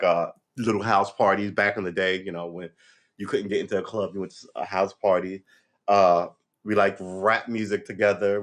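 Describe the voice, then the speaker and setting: A man in his fifties with a somewhat low voice, talking in a loose, reflective monologue. He restarts often and leans on "you know" and "right?". When he gets animated his pitch jumps up sharply. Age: 50s. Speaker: vlogger. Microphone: livestream setup.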